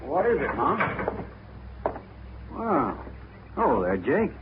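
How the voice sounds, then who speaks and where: A young actor playing a frontier son speaking slowly in a 1950s radio drama. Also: male voice, fairly high pitch, wildly swooping pitch, full character voice. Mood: puzzled. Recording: tinny old radio broadcast with hiss.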